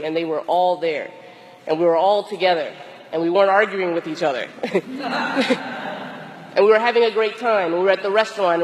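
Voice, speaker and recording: A hoarse, tired woman priest with a cold gives a slow, deliberate sermon with long pauses. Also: fairly low pitch, big-room sound, low-quality recording. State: hoarse, losing her voice from a cold; exhausted. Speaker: Episcopal priest. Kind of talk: reflective sermon.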